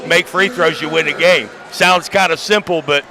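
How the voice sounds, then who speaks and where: A man's voice: fairly high pitch, steady tone, normal speed, creaky, pushed and bright, a faint crowd. An American man giving conversational basketball analysis as a color commentator, in a plain-spoken, folksy way. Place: courtside in high school gymnasium.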